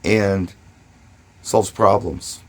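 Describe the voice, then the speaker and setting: A man with a fairly low, noticeably husky voice talks in a casual vlog style. He shares advice and anecdotes conversationally, leaning on key words and pausing briefly for effect. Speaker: DJ and vlogger. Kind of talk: casual first-person advice and storytelling to camera.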